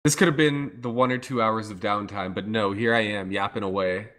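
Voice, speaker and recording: A man casting a live online game match, talkative and playful, his voice going hoarse. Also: fairly low pitch, steady tone, a little fast, a bit bright. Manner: talkative, playful, hypes the chat. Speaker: esports caster. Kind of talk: casual live game commentary.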